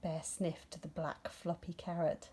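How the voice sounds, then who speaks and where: A woman narrating a scripted children's story in an expressive storytelling manner. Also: on the low side, a little slow, husky. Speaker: storybook reader.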